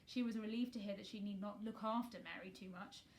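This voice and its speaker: A woman narrating a children's novel aloud in an expressive, scripted storytelling style. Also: mid-range voice, a little fast. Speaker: storybook reader.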